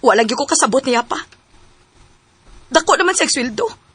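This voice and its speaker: A woman's voice: sits high, lively intonation, moderate pace, fairly bright tone, breathy edge, no echo, full character voice. An actress playing a worried young woman pressing her partner about their relationship in a radio drama. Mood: anxious. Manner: pleading, questioning.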